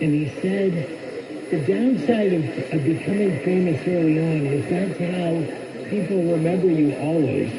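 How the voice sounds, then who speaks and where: Male talk radio host, fairly high voice, conversational interviewer, heard through clearly noisy AM reception. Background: loud radio static and droning noise.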